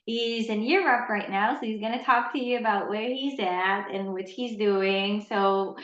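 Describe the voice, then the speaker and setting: A woman hosting a podcast in casual interview talk, greeting her guest with warm, effusive words. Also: medium pitch, unhurried. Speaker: podcast host. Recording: echoey, dull lo-fi room.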